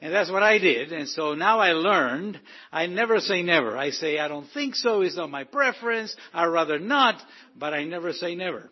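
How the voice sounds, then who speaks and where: A man's voice: on the high side, expressive, moderate pace, breathy edge, lo-fi sound. A man from Argentina, director of a ministry, giving an informal testimony to a congregation with many fillers and restarts. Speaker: guest speaker, ministry director.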